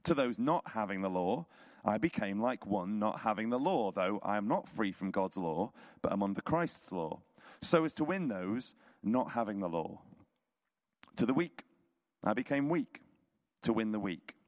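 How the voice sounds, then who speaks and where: A man with a hoarse, croaky voice reads a scripture chapter aloud at a church service, in a measured, rhetorical way. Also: fairly low pitch, sing-song. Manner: casual intro, then measured rhetorical reading. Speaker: church leader reading scripture. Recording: reverberant hall.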